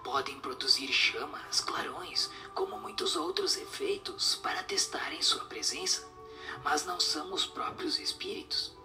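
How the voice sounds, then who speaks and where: A man voicing a solemn spirit who answers questions in a breathy, whispery, didactic way. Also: fairly high pitch, huge pitch swings, music in the background, heavily acted. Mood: solemn, instructive. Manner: didactic, measured explanations.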